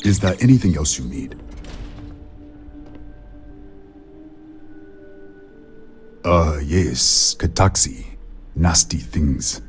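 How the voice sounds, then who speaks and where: An actor playing a helpful, weary facility worker in a video game, speaking in a casual, understated, matter-of-fact way. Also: male voice, rumbling low, unhurried, breathy edge, faint music behind, full character voice.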